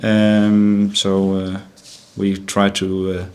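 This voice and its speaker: A middle-aged Danish man with a low, deep voice speaks English with a Danish accent at a press conference. He talks haltingly and often repeats words before finding his phrasing. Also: completely flat delivery, darkish tone. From Denmark. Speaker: football manager.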